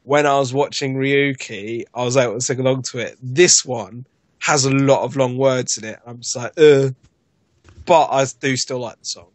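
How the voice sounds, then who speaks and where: A man talking loosely on a podcast, full of fillers and self-corrections. His voice sits at an ordinary middle pitch, and the sound is dry and close with no room around it. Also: fairly even intonation, normal speed, no room sound. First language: not Japanese. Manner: rambling, lots of fillers and restarts. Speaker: podcast guest. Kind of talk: casual chat.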